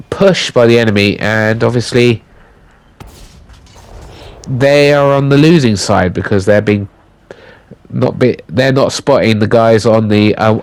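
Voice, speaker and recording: A man from the UK doing a voiceover commentary on a tank game, his voice croaky and his nose blocked from illness, talking haltingly with many fillers and restarts. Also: mid-range voice. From United Kingdom. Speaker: gaming commentator.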